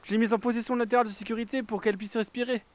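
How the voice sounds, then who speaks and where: A man's voice: extremely high pitch, flat delivery, rapid-fire, slight background noise. A man playing an anxious, irritable young adventurer in an audio drama, speaking in a blunt, exasperated and urgent way. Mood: serious, reproachful. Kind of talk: audio fiction acting.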